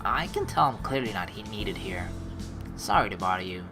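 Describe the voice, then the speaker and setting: Let's play host, lively pitch, rambling asides, reading game text aloud, game music underneath. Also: moderate pace. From United States. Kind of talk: reading a visual novel aloud with running commentary.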